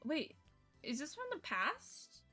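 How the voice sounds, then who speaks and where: Female streamer narrating a visual novel, higher register, reactive and conversational, game music behind her. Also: takes it slowly. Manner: expressive, reactive, slipping into asides. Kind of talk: live stream read-aloud of a visual novel with casual reactions.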